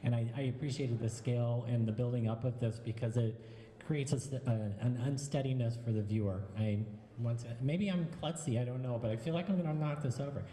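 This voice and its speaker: A man's voice: medium pitch, brisk, warm tone. A man giving a reflective, somewhat hesitant live critique of art pieces. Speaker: art show juror. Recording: echoey hall with long reverb, faint hum.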